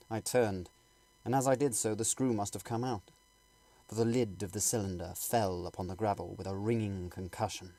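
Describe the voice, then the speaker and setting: A man reading a classic novel aloud as a dramatic audiobook, with theatrical, suspenseful and deliberate phrasing. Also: fairly low pitch, a little mellow. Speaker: audiobook reader.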